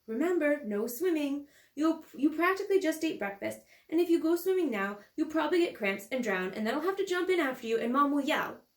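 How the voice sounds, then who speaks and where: A woman's voice: high voice, lively intonation, a little fast, reverberant, pitched above the performer's own voice. A woman voicing an earnest, imaginative young girl who bossily plays at being grown-up in a storybook reading. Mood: bossy, motherly. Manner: earnest, insistent, playing grown-up. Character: young girl Ella, bossy, earnest, imaginative little sister.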